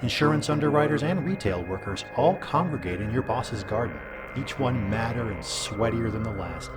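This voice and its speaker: A man playing an all-knowing abyss that gives solemn, deliberate, deadpan advice in a scripted comedy audio drama. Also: mid-range voice, close to an everyday voice. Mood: dry, knowing. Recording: loud music bed under voice.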